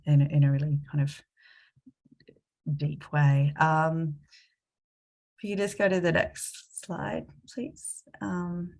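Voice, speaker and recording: A woman's voice: low voice, little pitch movement, slow, creaky, long pauses, darkish tone. A woman presenting a talk with slides, hesitant with many ums and restarts, a bit sniffly from a cold. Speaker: climate consultant presenting a talk.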